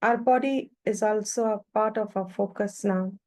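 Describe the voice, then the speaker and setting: A woman leading a guided meditation as a teacher, speaking calmly in short phrases with long pauses between them. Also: medium pitch.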